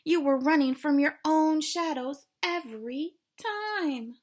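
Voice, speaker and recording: A woman's voice: sits high, takes it slowly, darkish tone, voice pushed up. A woman voicing a friendly badger in a storybook, sounding amazed and emphatic.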